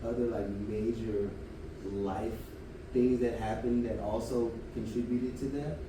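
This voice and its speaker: A man co-hosting a podcast, asking his friend questions in a hesitant, halting way. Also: mid-range voice, fairly even intonation. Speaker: co-host. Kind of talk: casual podcast interview. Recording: echoey room, distant mic, background noise.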